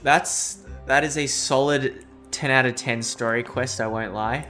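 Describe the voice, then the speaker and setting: A man's voice: medium pitch, steady tone, measured pace, pushed and bright. A young man streaming a game and reacting casually, with blunt, slangy, swear-laden talk. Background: game music.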